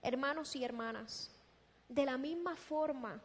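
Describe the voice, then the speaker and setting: High-pitched woman preaching in a reverberant hall. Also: a little slow. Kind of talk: sermon with scripture exposition. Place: large echoing church hall. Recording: long reverberant hall sound.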